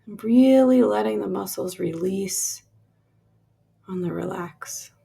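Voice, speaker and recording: A woman guides a relaxation meditation at a very unhurried pace. Her voice is heavy with vocal fry and has a husky, breathy edge. She gives gentle instructions in lingering phrases that soften as they trail away. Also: medium pitch.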